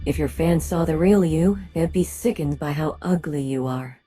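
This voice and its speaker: A woman playing a low-voiced suspicious female staffer. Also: normal speed, mellow, breathy edge, soft background music. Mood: cold, accusing. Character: cold, suspicious female company staffer, deferential to her boss. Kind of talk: dramatic dialogue acting.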